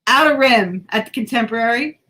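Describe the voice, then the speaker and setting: A woman's voice: fairly high pitch, animated, fairly quick, sharp tone. A woman co-hosting a podcast in casual chat, talkative and self-deprecating, sometimes tripping over her words. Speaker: podcast co-host.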